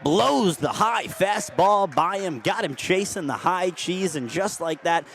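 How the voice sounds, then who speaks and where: A young man calling a game as a radio play-by-play announcer, steady between plays and rising to excited shouts on big hits. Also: high voice, a little slow, vocal fry, a little hiss or hum. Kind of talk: radio sports play-by-play commentary.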